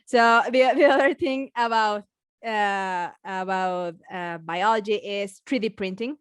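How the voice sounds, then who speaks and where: Mexican-accented woman with a smooth voice. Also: mid-range voice, no echo, studio quality. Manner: halting, many restarts and fillers, laughs often. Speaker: biologist guest and science communicator. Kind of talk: casual podcast conversation.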